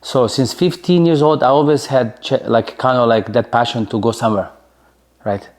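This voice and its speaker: A man's voice: mid-range voice, steady tone, normal speed, darkish tone. A man in his forties with a Slovenian accent, telling his story in an interview in a chatty way, full of fillers and tag questions. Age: 40s. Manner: chatty, many fillers, keeps asking "right?". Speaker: interview guest, former soccer player.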